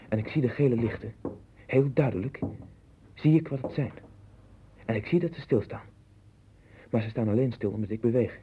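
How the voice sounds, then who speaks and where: Casting: male actor as anxious, brooding man, rapid repetitive rambling, old radio drama, tinny hissy recording. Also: medium pitch, lightly acted. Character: anxious, obsessive man sinking into his own strange world. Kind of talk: 1960s Dutch radio play acting. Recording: tinny, band-limited 1960s radio recording with hiss.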